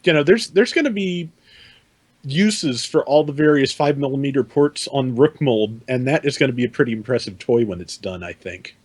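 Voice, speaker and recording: A man's voice: medium pitch, expressive, moderate pace, breathy edge, dry recording. A man talking casually as a panelist on a hobby podcast.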